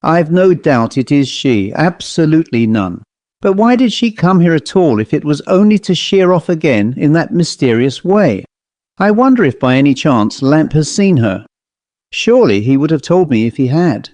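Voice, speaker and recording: Storybook gentleman played by a male reader: educated, brisk, puzzled, mellow tone, close dry sound. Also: fairly high pitch, very animated, no room sound. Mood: puzzled, musing. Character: perplexed upper-class gentleman bachelor, master of the house. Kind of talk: audiobook character voice.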